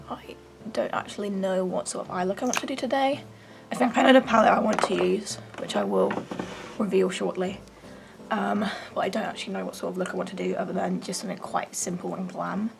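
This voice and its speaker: A young woman with a croaky voice, chatting casually through her makeup routine in her bedroom, rambling and self-deprecating. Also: medium pitch. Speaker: beauty vlogger. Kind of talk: casual get-ready-with-me chat.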